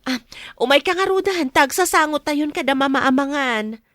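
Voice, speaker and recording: A woman with an Ilocano accent playing a gentle, devoted young wife and mother in a radio drama. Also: high-pitched, expressive, normal speed, dry recording. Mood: cheerful, respectful.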